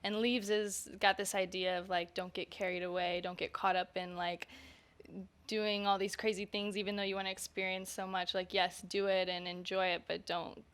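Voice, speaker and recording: A woman's voice: medium pitch, level tone, moderate pace, a bit bright, clean tone. A woman musician talking casually in an interview, informal and conversational, often saying "like" and "kinda". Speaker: musician and singer being interviewed.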